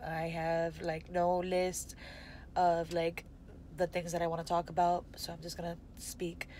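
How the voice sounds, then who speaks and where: A woman's voice: fairly low pitch, completely flat delivery, a little slow, mellow, slight background noise. A woman in her twenties with a stuffy, nasal voice, rambling candidly in an unscripted vlog from inside a car, filmed on a phone held at arm's length. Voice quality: congested, nasal. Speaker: vlogger. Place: parked car, indoors. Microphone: phone camera at arm's length.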